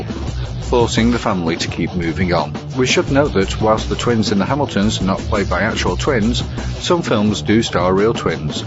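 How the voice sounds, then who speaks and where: A man presenting scripted film reviews as a narrator. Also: lowish voice. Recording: dull lo-fi with music behind. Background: music.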